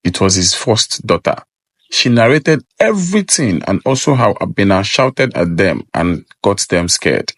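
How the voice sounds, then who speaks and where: A man's voice: lowish voice, sing-song, unhurried, fairly bright tone, no echo. A man narrating a scripted folktale as a storyteller.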